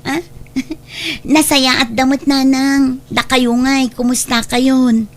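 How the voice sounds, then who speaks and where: Woman acting high-voiced forgiving Ilocano daughter. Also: level tone, slow pace. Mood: cheerful. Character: grown daughter, young mother, forgiving and respectful. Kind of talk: Ilocano radio drama acting. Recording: music bed behind the voice.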